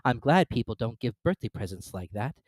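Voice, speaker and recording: Brief: reader playing a cautious, well-mannered girl, lively intonation. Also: mid-range voice, a little fast, no echo. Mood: polite. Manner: polite, careful, a little prim. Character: polite, cautious young girl.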